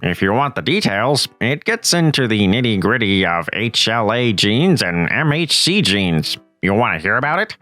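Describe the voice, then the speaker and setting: A man's voice: fairly low pitch, very animated, moderate pace, few pauses, sharp tone, faint music behind, voice pushed down. A man voicing a laid-back, slangy, know-it-all nerdy teenage boy, sounding a bit distant from the mic.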